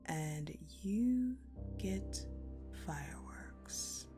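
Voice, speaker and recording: Woman acting a slow, sadistic ice-queen general. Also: medium pitch, expressive, over music. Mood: cool, calculating. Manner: deliberate, condescending, mocking, with dramatic pauses. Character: sadistic, coldly menacing ice-queen general, commanding and mockingly sweet. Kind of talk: dark audio roleplay acting, addressed to the listener.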